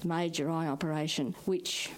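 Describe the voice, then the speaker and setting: An Australian woman tells her own story in an interview. Her voice is on the low side and stays flat and even as she recollects. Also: normal speed, low-quality recording. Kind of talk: plain personal recollection.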